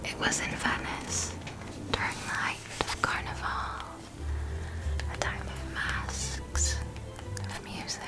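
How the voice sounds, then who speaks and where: A woman voicing a seductive, ancient female vampire mentor in an ASMR roleplay, with a breathy whisper and deliberate, intimate, theatrical phrasing. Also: over loud music, natural voice. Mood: wistful, storytelling.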